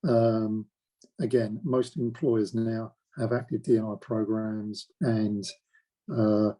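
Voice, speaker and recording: A man's voice: fairly low pitch, level tone, slow, warm tone, low-quality recording. A man in his forties who stammers, telling his own story in a hesitant, reflective way with many restarts. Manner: stammers, with repeated words and blocked sounds. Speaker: interviewee. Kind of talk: reflective personal account.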